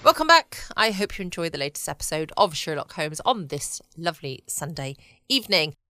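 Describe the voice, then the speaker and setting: A woman's voice: low-pitched, big pitch swings, brisk, pushed and bright, dry recording, clean studio sound. A woman hosting a bedtime podcast. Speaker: podcast host. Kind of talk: relaxed bedtime podcast introduction.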